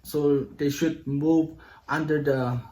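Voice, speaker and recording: A man with a Samoan accent chatting casually with his partner on a vlog, in halting, simple phrasing. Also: fairly high pitch, fairly even intonation, warm tone, low-quality recording. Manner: halting, simple non-native English phrasing. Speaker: vlog co-host.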